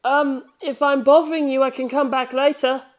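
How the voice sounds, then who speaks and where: A woman's voice: sits high, level tone, normal speed, pitched above the performer's own voice, close to an everyday voice. A woman voicing a polite, earnest schoolboy in an audiobook, with a hesitant, courteous manner.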